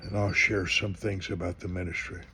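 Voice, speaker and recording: A man's voice: low voice, flat delivery, normal speed, sharp tone, slight background noise. A man in his seventies, a minister, announcing an upcoming live broadcast in a rambling, conversational way. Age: 70s. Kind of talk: promo announcement for a live stream.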